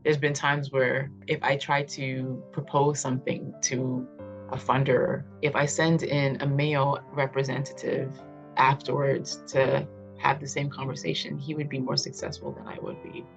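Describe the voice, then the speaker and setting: A woman's voice: a bass voice, moderate pace, vocal fry, faint music behind. A woman from Miami, an art fair director, speaking in a composed, matter-of-fact way. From Miami, Florida.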